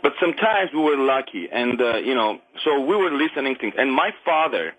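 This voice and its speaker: A middle-aged man from Armenia whose first language is Armenian, speaking English with an Armenian accent. He calls in to a radio show and talks earnestly, haltingly, with many restarts and non-native grammar. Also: mid-range voice, big pitch swings, fairly bright tone, low-quality recording. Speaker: caller. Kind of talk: call-in radio conversation.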